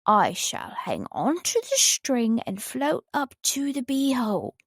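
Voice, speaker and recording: A woman voicing a gentle, simple-minded, honey-loving male toy bear in a children's storybook. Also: fairly high pitch, huge pitch swings, takes it slowly, gravelly, no room sound, studio quality, pitched above the performer's own voice, heavily acted. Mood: earnest.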